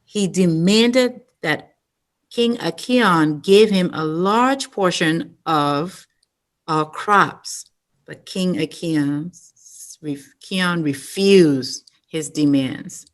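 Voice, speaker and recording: Woman reading a storybook, lowish voice, takes her time. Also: drawn-out words. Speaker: storytime reader. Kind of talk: reading a picture book aloud.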